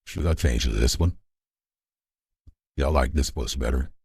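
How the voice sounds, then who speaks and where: A man putting on a deep radio announcer voice, loud and showy. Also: a little slow, husky, dry recording, studio quality, full character voice. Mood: showing off.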